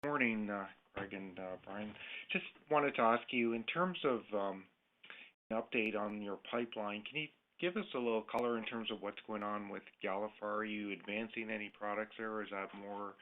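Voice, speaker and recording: Man, lowish pitch, analyst caller, informal questions, narrow phone sound. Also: moderate pace. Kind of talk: informal investor-call questioning. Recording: noisy tinny phone line with clicks.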